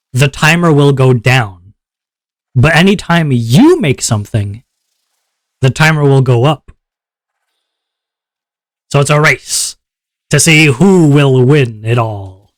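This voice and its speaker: A male streamer talks his chat through the event rules in a loose, chatty way. His voice sits at a middle pitch. The sound is close and dry, with no room around it. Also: no room sound. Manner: upbeat, chatty, checks in with chat often; relaxed lower voice after a viewer redeem. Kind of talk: casual livestream chat explaining rules.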